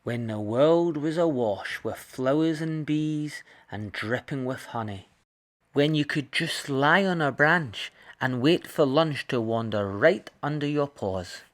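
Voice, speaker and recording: Guy reading a kids story out loud, takes his time, very up and down intonation. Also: medium pitch, expressive, slow pace. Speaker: storybook reader. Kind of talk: expressive children's story narration.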